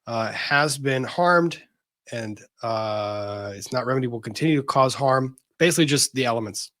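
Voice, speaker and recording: A man's voice: medium pitch, normal speed, a bit bright. Blunt man with a mid-range voice. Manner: blunt, exasperated, profane. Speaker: host, legal commentator. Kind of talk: casual legal commentary.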